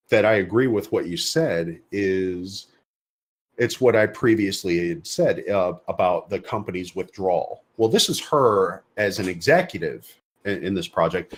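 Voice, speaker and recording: A man co-hosting a casual podcast discussion, often repeating words as he searches for his phrasing. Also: low-pitched. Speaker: podcast co-host.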